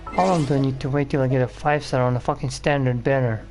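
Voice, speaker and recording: A man's voice: mid-range voice, steady tone, fast, music in the background. A man giving casual game commentary as a streamer, blunt, profane and grumbling. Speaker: gaming streamer.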